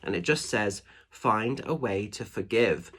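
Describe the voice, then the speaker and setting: A man, a spiritual medium, giving a casual oracle card reading in a nasal, stuffed-up voice, chatty and gently reassuring. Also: fairly low pitch, expressive, a little slow, slightly rough. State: congested with hay fever or a cold. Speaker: spiritual medium and tarot reader.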